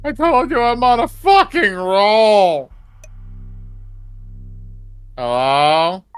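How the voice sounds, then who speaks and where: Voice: mid-range voice, lively intonation, very slow, clear, resonant tone, dry recording, soft background music. A performer voicing a manic, needy male sculptor, pleading and demanding like a child, in a game read-through.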